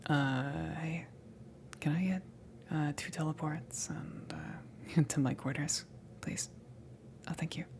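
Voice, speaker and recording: A kind, slightly awkward female alien from a salvage crew, played by a woman, speaking in a low-pitched whisper for an ASMR roleplay. The voice is creaky and breathy, and the delivery is hesitant, rambling and reassuring. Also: steady tone, slow, pauses often, a little mellow. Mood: gentle, apologetic. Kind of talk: ASMR roleplay, improvised-sounding soft talk.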